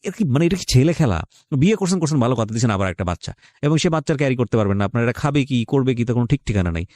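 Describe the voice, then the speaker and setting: A man's voice: mid-range voice, very animated, very fast, a little mellow, no room sound, clean studio sound. A male radio host with a Bangladeshi accent gives unscripted moral commentary in an emphatic, lecturing way, mixing in English phrases.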